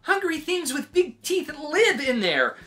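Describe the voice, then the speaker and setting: Woman playing a chatty, nervous storybook sheep, swooping pitch. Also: very animated, measured pace, a bit bright, faint music behind, exaggerated voice. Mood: wheedling. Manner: hesitant, wheedling, full of suggestions. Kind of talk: animated children's picture-book read-aloud.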